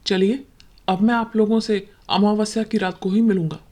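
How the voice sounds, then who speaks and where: A solemn village holy man, voiced by a woman, in a horror cartoon story. He speaks deliberately and gravely, like an elder counselling the young. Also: medium pitch, fairly even intonation, dark tone, natural voice.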